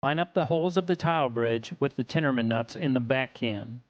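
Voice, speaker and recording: A man reads scripted technical narration for an instructional video in a measured, instructional way. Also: mid-range voice, no echo, dull audio.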